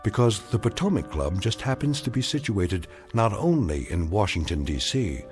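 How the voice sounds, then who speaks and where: An actor playing a grave, knowing narrator of eerie tales, delivering deliberate, suspenseful narration. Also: male voice, on the low side, big pitch swings, darkish tone, faint music behind. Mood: ominous. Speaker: narrator of a radio anthology drama. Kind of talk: dramatic scripted narration.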